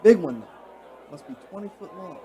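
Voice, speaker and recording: A man's voice: high-pitched, animated, normal speed, warm tone, a faint crowd. An actor playing a deferential police officer reporting to his superior in a radio drama. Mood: dutiful, intrigued.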